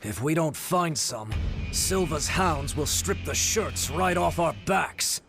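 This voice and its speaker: Condescending gang boss in a game, creaky voice, menacing but polite, music playing. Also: male voice, on the high side, animated, slow pace, full character voice. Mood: smug, instructing. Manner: condescending, mock-courteous. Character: smug, menacing male gang overseer, mock-polite. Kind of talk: video game cutscene acting. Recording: dramatic music behind the voice.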